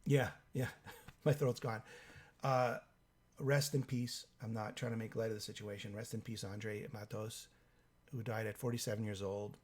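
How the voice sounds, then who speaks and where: A hoarse man with a cold hosts a live stream, reading out viewer comments in a halting way with many fillers. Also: on the low side, flat delivery, darkish tone. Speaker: livestream host.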